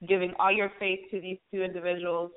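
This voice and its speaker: A woman's voice: fairly low pitch, little pitch movement, fast, smooth. A woman hosting a casual, conversational interview, heard over a tinny phone-style line. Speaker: interviewer, show host.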